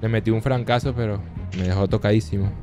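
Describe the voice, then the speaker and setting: A young man from Venezuela doing casual, jokey gaming commentary into a boom mic in a small home studio. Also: quick pace, music in the background. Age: young adult. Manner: excitable, jokey, then chatty with teammate. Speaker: gaming youtuber. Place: small bedroom studio indoors. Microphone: boom mic with headphones.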